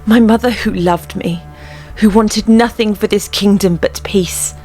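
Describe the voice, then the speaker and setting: A woman's voice: mid-range voice, fairly even intonation, darkish tone, faint music behind, lightly acted. A woman playing a proud, resolute young warrior queen in a fantasy fandub, speaking firmly and solemnly. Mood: grieving anger. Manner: firm, solemn, deliberate. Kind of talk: fantasy audio drama fandub acting.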